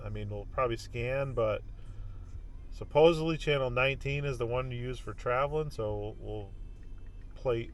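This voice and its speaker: A man's voice: medium pitch, normal speed. A man in his fifties talking casually to camera in a plain-spoken, informal way, as a vlog host. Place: outdoors by a driveway and inside a car. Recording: clear road and car noise.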